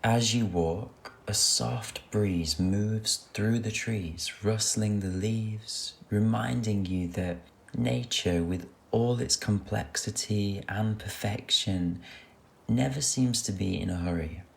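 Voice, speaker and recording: A man guides a meditation in a low voice with a creaky edge. He speaks very slowly and soothingly, giving gentle instructions. Soft music plays underneath his voice.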